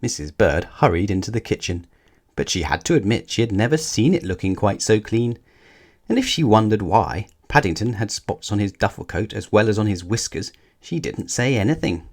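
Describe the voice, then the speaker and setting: Male storybook narrator, fairly low register, scripted read-aloud for children. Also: sing-song, moderate pace.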